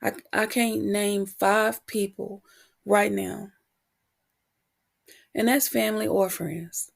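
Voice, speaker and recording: A woman podcast host talking reflectively and candidly on her own, her voice husky and prone to cracking as she gets over a cold. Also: medium pitch, steady tone. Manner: candid, unhurried, pausing between short phrases. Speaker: podcast host and motivational coach. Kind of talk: reflective solo podcast talk.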